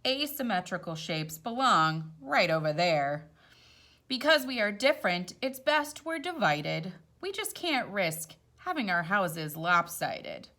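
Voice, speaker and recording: A woman's voice: medium pitch, big pitch swings, a little slow, pushed and bright, full character voice. A woman voicing a snobbish, bossy male square in a children's storybook, firm and pompous.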